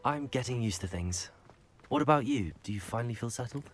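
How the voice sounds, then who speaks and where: A man's voice: medium pitch, expressive, a little fast, soft background music, lightly acted. An actor playing a polite, curious teenage boy wizard in a video game, speaking in a reserved, questioning way. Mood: reserved, sincere.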